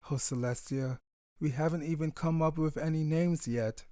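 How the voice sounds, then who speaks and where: A man's voice: on the high side, fairly even intonation, moderate pace, dark tone, natural voice. A man voicing a tender, emotional pony stallion, a young father, in a dramatic story reading. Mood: excited, loving.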